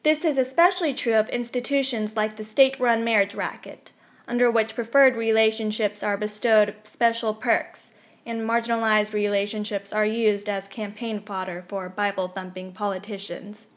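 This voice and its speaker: A woman reading a scripted opinion article aloud in a measured, formal delivery. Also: mid-range voice, fairly even intonation. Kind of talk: scripted narration. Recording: dull, lo-fi recording.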